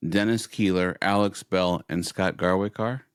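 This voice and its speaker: A man's voice: low-pitched, steady tone, normal speed, clear, resonant tone, no room sound, clean studio sound. A man hosting a podcast, chatting casually and making announcements with playful mock formality. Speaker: podcast host.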